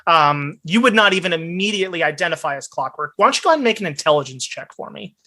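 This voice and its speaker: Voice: mid-range voice, animated, fast, pushed and bright. A game master narrating a tabletop game in a conversational, explanatory way.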